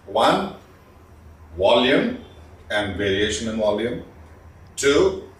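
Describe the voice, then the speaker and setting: Male trainer, mid-pitched, instructional and emphatic, deliberate pauses, echoey distant recording. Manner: deliberate, emphatic, pausing between points. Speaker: communication trainer. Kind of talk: instructional lecture to viewers. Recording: roomy, distant mic, faint background noise.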